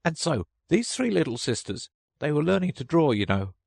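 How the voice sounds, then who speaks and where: A man's voice: a little high, sing-song, normal speed, gravelly, darkish tone, dry recording, clean studio sound, voice pushed up, exaggerated voice. A man voicing a small, drowsy dormouse who sulks when interrupted. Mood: sleepy, sulky. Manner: drowsy, sulky, indignant. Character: sleepy, sulky little male dormouse.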